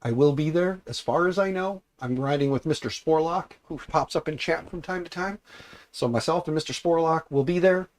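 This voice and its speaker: A man hosting a casual livestream, congested and raspier than usual, rambling and repeating himself as he talks to his chat. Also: fairly high pitch, normal speed. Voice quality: raspy, congested. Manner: mild swearing, offhand. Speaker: livestream host.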